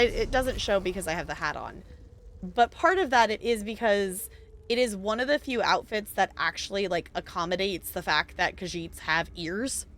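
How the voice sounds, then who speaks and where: Bright-voiced chatty woman streamer. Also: mid-range voice. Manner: chatty, rambling, laughs often. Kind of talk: casual gameplay commentary.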